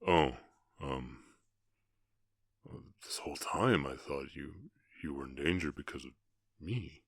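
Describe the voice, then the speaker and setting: A man voicing a fierce but tenderly protective orc cowboy in an ASMR roleplay, speaking in terse, halting, earnest phrases. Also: a bass voice, creaky, breathy edge, no echo, studio quality, exaggerated voice. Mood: tender, flustered.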